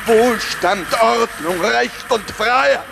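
An actor playing a confused, whining old politician, halting and pompous with a creaky voice, in a satirical radio drama. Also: medium pitch, noisy background. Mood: pained, muddled. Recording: music behind the voice.

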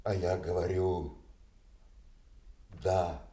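An actor playing a loyal, blunt nobleman in a classical audio tragedy, with a measured, declamatory delivery. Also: low-pitched, level tone, warm tone. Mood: firm. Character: loyal, blunt nobleman Kent, put in the stocks. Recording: echoey roomy studio recording.

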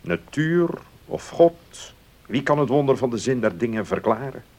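An actor playing an opinionated gentleman baron in a radio play, arguing earnestly in a declamatory way. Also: mid-range voice, lively intonation. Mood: earnest, lecturing. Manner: declamatory, deliberate argument. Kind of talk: Dutch radio play acting.

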